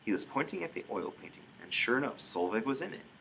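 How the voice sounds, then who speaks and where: A man reading a story aloud in expressive narration, with dramatic emphasis. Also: mid-range voice, quick pace, vocal fry, slight background noise, rough recording. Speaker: storybook reader.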